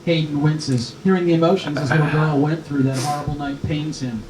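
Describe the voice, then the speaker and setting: A man's voice: on the high side, fairly even intonation, fairly quick, crowd noise. A man reading stage directions as scripted narration for a screenplay. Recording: roomy, echoing, clearly noisy recording.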